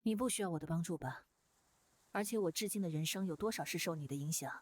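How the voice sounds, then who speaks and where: Visual novel role: gentle, resolute young woman, lowish husky voice. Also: fast talker, no room sound. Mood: gentle, firm.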